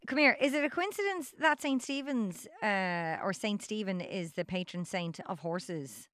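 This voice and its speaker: Lively Irish woman interviewer. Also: mid-range voice, moderate pace, no room sound. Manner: curious, warm-hearted questions with hesitant fillers. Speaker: interview host. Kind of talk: casual interview.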